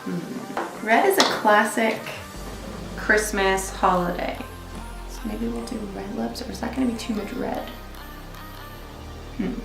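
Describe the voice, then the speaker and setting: A woman's voice: mid-range voice, unhurried, gravelly, long pauses. A young woman giving a casual, chatty beauty tutorial in an effusive, rambling way, as host. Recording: roomy echo, music behind. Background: music.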